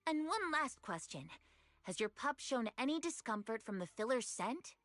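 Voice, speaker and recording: A woman's voice: a little high, sing-song, normal speed, a bit bright, no echo. A woman voicing a polite, eager young shopkeeper with a courteous customer-service manner in an anime-style game.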